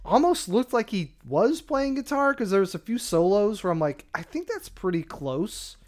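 A man with a fairly high-pitched voice co-hosts a casual movie chat. His manner is wry and joking. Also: sing-song, moderate pace. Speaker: movie podcast co-host.

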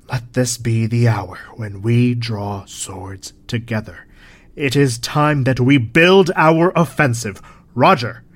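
A mid-pitched, grave male voice. a resolute leader of a moonbase resistance, speaking formally and with measured eloquence, in audio drama style.

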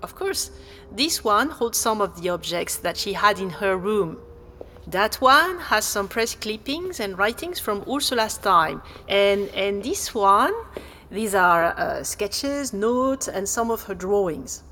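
An actress playing a helpful, slightly flustered exhibition guide, speaking politely and hesitantly in naturalistic audio drama dialogue. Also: female voice, mid-range voice, expressive, takes it slowly, bright, forceful tone, slight background noise, heavily acted. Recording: long hall-like reverb.

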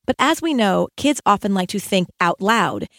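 A woman's voice: medium pitch, animated, moderate pace, fairly bright tone, dry recording, studio quality. A middle-aged woman narrating an audiobook as an educator, in an earnest, articulate manner. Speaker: educator and author reading own audiobook.

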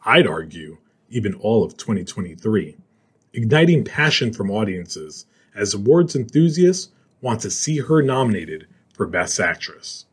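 A man's voice: fairly low pitch, big pitch swings, a little slow, breathy edge. A man hosting a podcast, reading a scripted introduction to his guest. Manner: read-aloud delivery. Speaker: podcast host.

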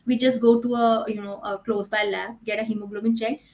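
Female medical expert for a podcast interview, Indian accent, slightly high voice, explains at length. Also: flat delivery, fairly quick, a little mellow. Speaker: fertility doctor, podcast guest.

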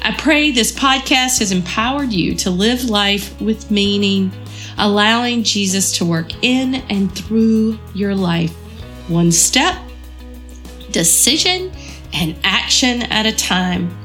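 A woman's voice: mid-range voice, animated, slow, fairly bright tone, soft background music. A woman hosting a devotional podcast, earnest and encouraging, her voice a bit froggy from a cold. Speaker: podcast host.

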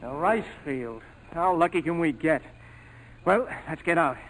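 Likeable, earnest flier, slightly high voice, faintly noisy vintage radio drama. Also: male voice, lively intonation, a little slow, a bit bright. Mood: steady. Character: easygoing, loyal, romantic young co-pilot. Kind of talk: 1940s radio drama acting. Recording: noisy old 1940s radio broadcast.

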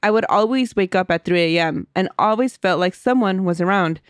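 A woman's voice: lowish voice, a little fast, no echo, studio quality. A woman co-hosting a podcast, chatting casually, laughing often and reading a listener's story aloud. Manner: giggly, chatty, reads aloud with asides. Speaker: podcast co-host.

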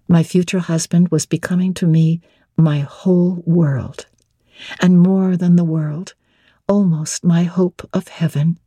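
A woman narrating classic literature as a dramatic audiobook, in a measured, expressive and formal manner. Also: lowish voice, husky, no room sound, studio quality.

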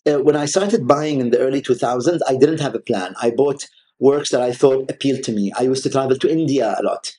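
Guy whose first language is arabic, relaxed dark tone, lots of uh and restarts, chatty interview guest. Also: medium pitch, a little fast. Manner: hesitant, many restarts and fillers. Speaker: art collector guest. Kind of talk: conversational interview.

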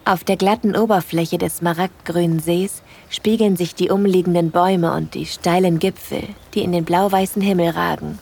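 A woman playing a smitten hiker who narrates her date intimately in a breathy, playful way for an erotic audio drama. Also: on the low side, fairly even intonation, moderate pace, few pauses, a little mellow. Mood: tender, intimate.

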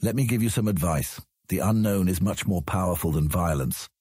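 A man acting as a cunning, humorless old lord in an audiobook drama, measured and condescending. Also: low-pitched, warm tone, breathy edge, dry recording, studio quality.

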